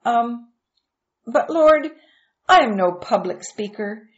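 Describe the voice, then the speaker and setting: A woman's voice: fairly high pitch, expressive, slow pace, pitched above the performer's own voice. A woman playing a nervous stammering shepherd. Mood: hesitant. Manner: hesitant, stammering with ums and uhs. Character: timid stammering male shepherd Moses, humble. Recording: dull lo-fi recording.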